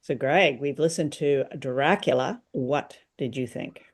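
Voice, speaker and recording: A woman in her sixties co-hosting a casual review chat on a video call, with halting phrasing. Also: a bass voice. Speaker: show co-host. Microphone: video call, wearing headphones.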